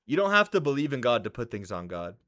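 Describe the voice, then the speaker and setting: A man in his twenties from California with an American accent, chatting casually on a live stream in excitable, slangy talk and answering chat messages. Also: medium pitch, quick pace. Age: 20s. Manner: excitable, slangy, swears, says "bro".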